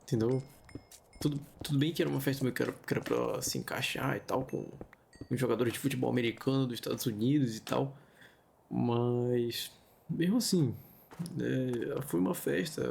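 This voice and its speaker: A Brazilian man hosts a story-reading video in a casual, conversational style. His mid-pitched voice has a creaky, gravelly edge. He slips in informal asides, and there is faint noise behind him. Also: lively intonation, normal speed. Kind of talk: casual chatty commentary and storytelling.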